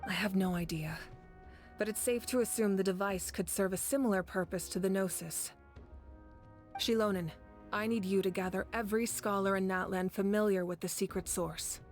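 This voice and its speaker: A woman voicing a calm, dignified leader in a video game, speaking in a measured, formal, kindly manner. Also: medium pitch, faint music behind, natural voice. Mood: grave.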